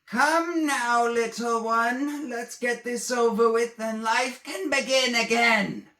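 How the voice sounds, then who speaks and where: A man voicing a giant female spider queen in a fantasy story, menacing and coaxing, with drawn-out, taunting delivery. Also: extremely high pitch, sharp tone, voice pushed up, heavily acted. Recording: roomy echo.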